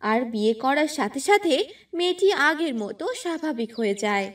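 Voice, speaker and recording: A woman's voice: sits high, animated, moderate pace, recorded from a distance. A woman narrating a scripted cartoon fairy tale as the storyteller.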